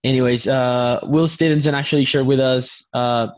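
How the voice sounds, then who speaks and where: A man with a Colombian accent hosts a loose online chat, his voice at an ordinary middle pitch. He talks chattily, trips over his words and restarts them, and pokes fun at himself. The video call audio sounds dull and lo-fi. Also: steady tone, normal speed. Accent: Colombian Spanish. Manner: chatty, stammering restarts, self-deprecating. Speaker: host.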